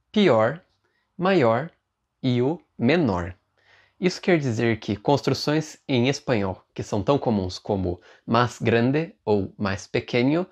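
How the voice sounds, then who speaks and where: A Brazilian man presenting a scripted language lesson, explaining things in a clearly structured, didactic way. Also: mid-range voice, a little mellow. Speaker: Portuguese teacher, video presenter.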